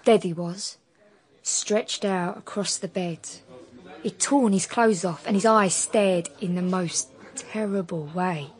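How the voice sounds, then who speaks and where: A woman's voice: lowish voice, slow pace, a little mellow. A woman playing a dutiful, plain-spoken inn barmaid giving testimony in a radio drama. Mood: shaken, grave. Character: dutiful London inn barmaid recounting a horror.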